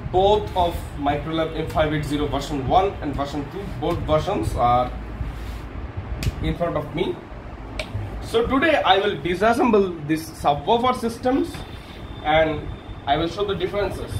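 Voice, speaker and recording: A man hosting a hands-on tech teardown, speaking in short phrases with halting pauses. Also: a little high, noisy background. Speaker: tech video host. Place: small indoor workshop room.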